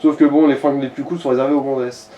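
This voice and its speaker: A man's voice: mid-range voice, little pitch movement, quick pace, dark tone, lo-fi sound. A man commentating a video game in casual chat, blunt and slangy with some swearing. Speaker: let's play co-commentator. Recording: distant mic with faint noise.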